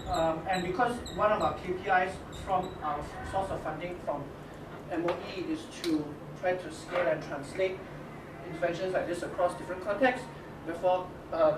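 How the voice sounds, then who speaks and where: A high-pitched man with a Singaporean English accent talks his audience through a workshop in stop-start phrases. The room is echoey and noisy, and he sounds set back from the mic. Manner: halting, many restarts and "okay?" checks. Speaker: workshop leader introducing his team. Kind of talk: casual spoken presentation to teachers. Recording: echoey room from a distance with noise.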